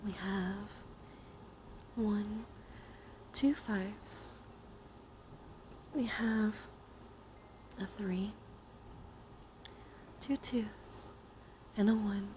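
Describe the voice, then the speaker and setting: A woman hosting a casual ASMR-style live stream game, soft-spoken with half-whispered phrase endings and a casually sweary way of talking. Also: mid-range voice, steady tone, very slow, pauses often, slight background noise.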